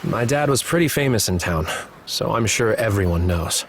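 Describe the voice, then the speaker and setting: An actor playing a somber, haunted young man in a video game cutscene, speaking in an earnest, subdued and measured way. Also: fairly low pitch, expressive, slight background noise, close to an everyday voice. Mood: somber, bitter.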